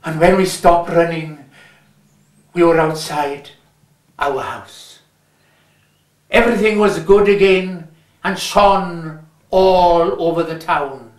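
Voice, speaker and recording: A man with a Welsh accent reading a story aloud, measured and lingering on his words. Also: a little high. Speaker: reader. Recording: roomy echo.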